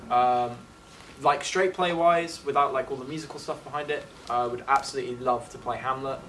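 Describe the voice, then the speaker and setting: A young man in his late teens gives a casual, effusive interview as a student actor. His medium-pitched voice is full of "like". The mic is some distance away and there is slight background noise. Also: steady tone, a little fast, a bit bright. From England. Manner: effusive, peppered with "like".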